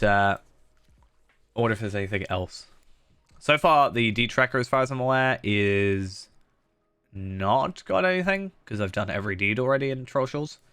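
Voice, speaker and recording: A man's voice: on the low side, a bit bright, smooth, no echo. A man live-streaming a game, chatting casually and thinking aloud, with a blocked nose that makes him sound slightly nasal. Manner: chatty, thinking aloud with many fillers and restarts. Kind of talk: casual live-stream commentary while playing.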